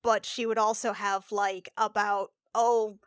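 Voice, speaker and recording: A woman's voice: medium pitch, flat delivery, a little slow, smooth, dry recording, clean studio sound. A woman chatting casually as a podcast panelist, thinking aloud in loose, hesitant phrases. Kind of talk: casual chat.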